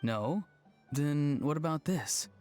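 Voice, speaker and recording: A man's voice: on the high side, animated, takes it slowly. A man voicing an easygoing young tribesman in a video game, explaining things in a casual, earnest way. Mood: musing. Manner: casual, matter-of-fact explaining. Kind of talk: video game character voice acting. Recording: game music behind.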